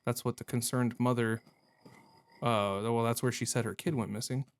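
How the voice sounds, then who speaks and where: A man's voice: mid-range voice, fairly even intonation, normal speed. A man giving casual, reactive gameplay commentary in a rambling, jokey manner with goofy mild exclamations. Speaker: gamer hosting a playthrough video.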